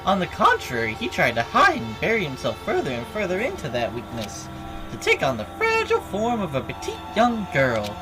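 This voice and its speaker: Game villain bear, performed by a woman: gleeful, mocking storyteller, hugely animated delivery, clear music underneath. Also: on the low side, low-quality recording. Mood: sly storytelling. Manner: theatrical, mocking, drawn-out announcements. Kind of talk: dubbing video game dialogue aloud.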